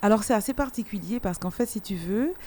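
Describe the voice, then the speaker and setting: Martinican woman, mellow voice, emphatic talker. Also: medium pitch, expressive, a little fast. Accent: Martinican French. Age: middle-aged. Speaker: singer and musician interviewed as guest. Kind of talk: casual spoken interview, telling own career story.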